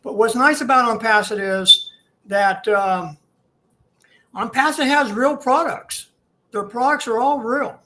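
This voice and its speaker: A man in his sixties from Ohio, a guest telling his own story in a plainspoken, halting, folksy way over a video call. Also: extremely high pitch, sharp tone, rough recording. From Ohio, USA. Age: 60s.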